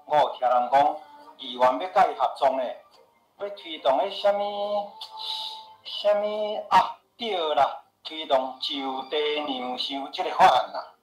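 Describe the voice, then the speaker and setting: Earnest Taiwanese shopkeeper in echoey room. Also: male voice, fairly high pitch, lively intonation, unhurried. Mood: urging. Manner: persuasive, plain-spoken, insistent. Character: earnest, insistent male pharmacy owner and worried homeowner. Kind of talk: radio drama acting. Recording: roomy echo with music behind.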